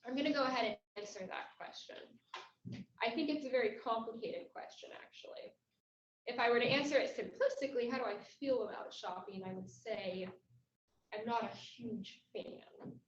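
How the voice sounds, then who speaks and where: Measured, thoughtful woman, higher voice; echoey, lo-fi video call. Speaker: club member. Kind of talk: answering a table topic at a meeting. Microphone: video call mic. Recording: echoey, dull, faint noise.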